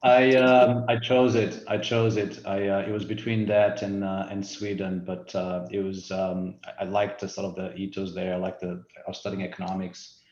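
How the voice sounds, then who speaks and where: A man's voice: low voice, flat delivery, normal speed, hardly any pauses, a little mellow, reverberant, highs cut off. A Portuguese man in his forties with a Portuguese accent, answering interview questions thoughtfully with frequent fillers and restarts. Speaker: resort CEO guest.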